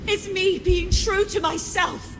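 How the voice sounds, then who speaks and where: Very high, defiant young heroine. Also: female voice, fairly even intonation, slow, music in the background. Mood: desperate defiance. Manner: strained, emphatic cries. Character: desperate, defiant young heroine fighting to save someone. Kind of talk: intense video game acting.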